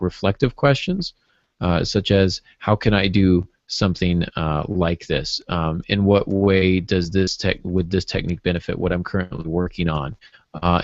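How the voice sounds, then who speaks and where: Male co-host, very low register, reflective conversational delivery, no room sound, dull lo-fi capture. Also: dry recording. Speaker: podcast co-host, writer. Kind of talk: casual chat, giving writing advice. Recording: dull, dry lo-fi podcast audio.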